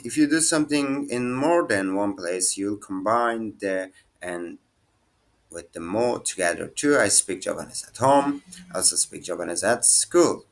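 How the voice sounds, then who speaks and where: Slow, halting man teaching a lesson. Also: fairly low pitch, vocal fry, a bit bright. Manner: halting, thinks aloud, restarts and fillers. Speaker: language teacher. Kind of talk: casual screen-share lesson.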